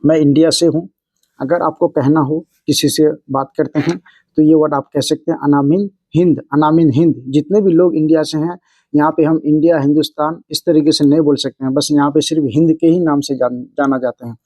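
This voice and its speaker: A man giving a casual spoken language lesson, repeating each example phrase slowly and explaining it word by word. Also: a little high, fairly even intonation, a little mellow, no room sound, low-quality recording. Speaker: Arabic language teacher. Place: Saudi Arabia.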